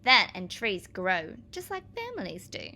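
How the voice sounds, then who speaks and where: A woman teacher reading aloud to young children, explaining as she goes in a clear, expressive manner. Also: medium pitch, unhurried.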